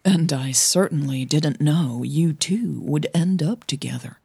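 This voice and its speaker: A woman voicing an earnest, cautioning female coach in an audio drama, measured and persuasive. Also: low-pitched, creaky, warm tone, slightly rough, no room sound, full character voice. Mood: apologetic.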